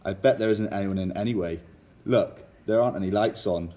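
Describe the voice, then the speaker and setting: A man's voice: on the low side, brisk, dark tone, close to an everyday voice. A man playing a nervous, suspicious young brother in an amateur radio drama. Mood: uneasy, reluctant.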